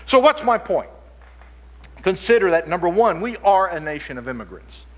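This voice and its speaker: A man's voice: high-pitched, big pitch swings, normal speed. A man who grew up in Chile, giving a lecture-style conference talk and often repeating words as he restarts his sentences. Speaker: conference speaker. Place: conference hall. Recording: long hall reverb.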